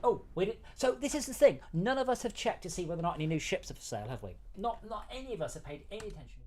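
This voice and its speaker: Male game streamer and developer, casual and rambling, teasing, with animated, swooping delivery. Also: high voice, racing, a little hiss or hum.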